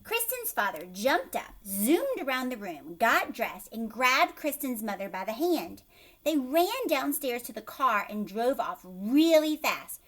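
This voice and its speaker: A woman's voice: fairly high pitch, big pitch swings, a little slow, a bit bright. A woman hosting a children's read-aloud, narrating a storybook expressively and breaking off to question her young listeners in an emphatic way.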